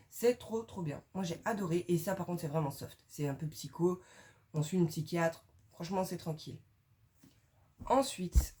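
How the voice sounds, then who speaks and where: A woman's voice: on the low side, warm tone. Young adult woman, book vlogger, chatty and informal, filler-heavy. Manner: chatty, slangy, many fillers. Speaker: book reviewer. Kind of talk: casual video book recommendations.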